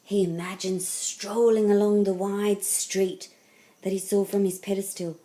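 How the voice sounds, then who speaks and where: A woman reading a children's story aloud as narrator, with expressive, deliberate and dramatic phrasing. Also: medium pitch. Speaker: storybook reader.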